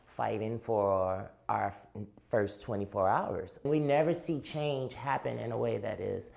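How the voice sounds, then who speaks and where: Slow, gravelly-voiced woman. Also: very deep voice, darkish tone. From Chicago. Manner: slow, emphatic and deliberate. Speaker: interviewee, activist. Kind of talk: personal testimony.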